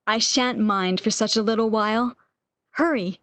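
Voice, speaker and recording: Woman acting a high-voiced, wistful bedridden romantic heroine. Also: moderate pace, a bit bright, no room sound, natural voice. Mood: anxious, hopeful. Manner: breathless, emotional, old-fashioned melodramatic phrasing. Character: bedridden lovesick woman, wistful, eager, longing for lost love. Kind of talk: old-time radio romance acting.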